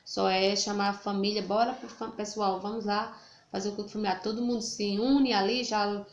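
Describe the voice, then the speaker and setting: A woman in her thirties giving casual devotional advice in a family vlog, earnest and warm, checking in with "right?" again and again. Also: mid-range voice, fairly even intonation, moderate pace, muffled recording. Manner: earnest, repeats "né?" and "tá bom?" often. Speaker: family vlogger. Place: small room indoors. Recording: echoey room, faint ambient noise.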